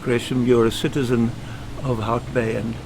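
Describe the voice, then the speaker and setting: A South African man giving measured, emphatic answers as a podcast guest. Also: mid-range voice, little pitch movement, noisy background. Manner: measured, emphatic, speaks in short firm statements. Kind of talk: earnest interview answers. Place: home.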